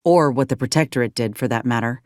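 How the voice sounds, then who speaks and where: A woman's voice: a bass voice, quick pace, darkish tone, no echo, studio quality. An actress playing a frank, caring young woman soldier in a game, earnest and plain-spoken with folksy turns of phrase. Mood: earnest, gentle. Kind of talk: video game companion dialogue.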